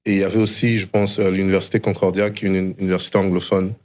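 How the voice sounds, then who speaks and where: Deep-voiced man telling his story. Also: level tone, rapid-fire, darkish tone. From DR Congo and Canada. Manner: mixes English phrases into French. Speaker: interview guest, tech founder. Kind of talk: reflective personal storytelling in conversation.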